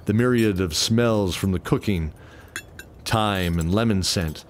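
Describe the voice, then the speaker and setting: A man narrating a radio play in a deliberate, descriptive, dramatic storytelling style. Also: lowish voice, a little hiss or hum. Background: music bed.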